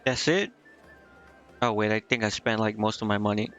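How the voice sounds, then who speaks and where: A man's voice: lowish voice, normal speed, fairly bright tone, smooth, dull audio, faint music behind. A man giving casual, off-the-cuff gameplay commentary as a streamer, slangy and irreverent. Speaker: video game streamer.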